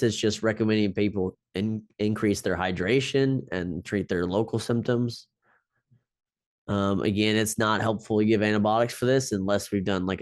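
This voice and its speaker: A man's voice: fairly low pitch, clear, resonant tone, no echo, clean studio sound. A man teaching a class, talking it through loosely with many ums and restarts as he explains step by step. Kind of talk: unscripted lecture.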